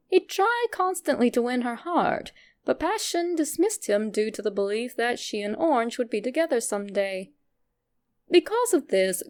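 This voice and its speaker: A woman's voice: on the high side, darkish tone. A woman reading a scripted internet horror-theory story as a narrator.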